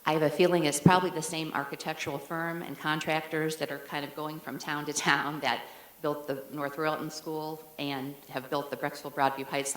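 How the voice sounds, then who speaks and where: A woman in her fifties speaks to a school board with earnest, measured care, her low voice set in an echoey room. She pauses on small fillers as she lays out her point. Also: a bit bright. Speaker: community member asking a question. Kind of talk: public comment at a meeting. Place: large meeting room.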